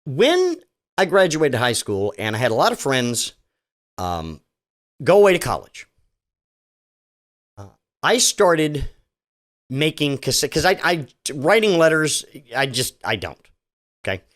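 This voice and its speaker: A middle-aged man rambles through a casual solo podcast, sarcastic and full of restarts. His voice sits a touch high for a man and swings widely in pitch as he talks. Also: wildly swooping pitch, measured pace, long pauses, fairly bright tone. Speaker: podcast host.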